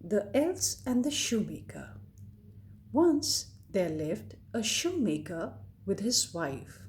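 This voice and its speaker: A woman storyteller reading a scripted fairy tale to children in a deliberate, expressive way. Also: mid-range voice, a little hiss or hum. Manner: deliberate, expressive read-aloud delivery addressed to children.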